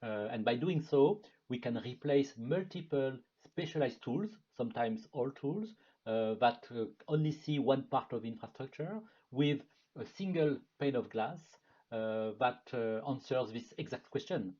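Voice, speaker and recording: A man with a French accent giving a product marketing presentation in a webinar, speaking in a measured way with frequent filler sounds. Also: medium pitch, lively intonation, a little mellow. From France. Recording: dull lo-fi webinar audio.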